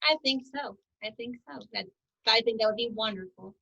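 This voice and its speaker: A bilingual woman from Texas with a Texan accent, reading aloud in Spanish and answering briefly and gently. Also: fairly high pitch, fairly quick, gravelly, lo-fi sound. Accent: Texan Spanish-English bilingual. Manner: bright, gentle, brief replies. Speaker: guest reader, deputy. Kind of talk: bilingual read-aloud and chat.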